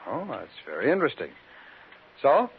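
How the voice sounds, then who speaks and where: An actor playing an unruffled, evasive crime suspect with a husky voice and coolly polite manner in a 1950s radio drama. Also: male voice, medium pitch, wildly swooping pitch, moderate pace, a little hiss or hum. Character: unruffled, evasive male gambling-syndicate suspect. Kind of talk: 1950s radio villain acting. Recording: thin, phone-like 1950s radio recording.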